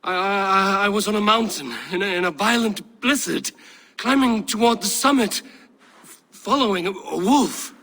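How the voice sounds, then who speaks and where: An actor playing a troubled, fiercely loyal Viking warrior in a video game, speaking in a halting, earnest way. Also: male voice, sits high, bright, forceful tone, exaggerated voice. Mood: shaken, hazy. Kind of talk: dramatic video game acting.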